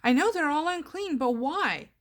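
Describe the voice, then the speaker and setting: A woman speaks casually in a fairly high voice, like someone guiding a discussion. She is teacherly, nudging with questions and correcting as she goes. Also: lively intonation, moderate pace, fairly bright tone.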